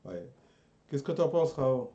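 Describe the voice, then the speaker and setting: Mellow man chatting haltingly. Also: mid-range voice, sing-song. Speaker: show host. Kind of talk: casual chat.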